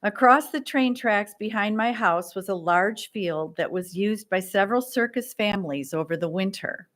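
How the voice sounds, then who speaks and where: A woman leading the service, introducing the speaker and the offertory in an unhurried manner. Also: mid-range voice, fairly bright tone. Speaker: worship leader.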